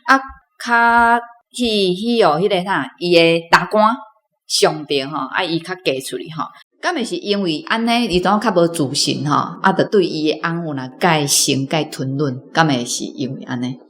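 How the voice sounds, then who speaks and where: A woman's voice: on the low side, moderate pace, a bit bright, slight background noise. A woman with a Taiwanese accent, a reading club member asking questions conversationally.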